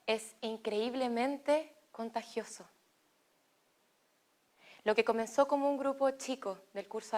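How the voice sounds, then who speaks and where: A young woman with a Chilean Spanish accent tells a personal story in a fairly high voice. She speaks slowly and deliberately and pauses between phrases. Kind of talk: personal testimony read as narration.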